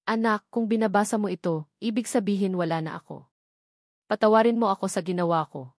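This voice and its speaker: A woman's voice: medium pitch, brisk, darkish tone, dry recording, clean studio sound, natural voice. A woman with a Filipino accent voicing a loving, dying father's earnest, apologetic letter to a daughter in an audio drama. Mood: apologetic, tender.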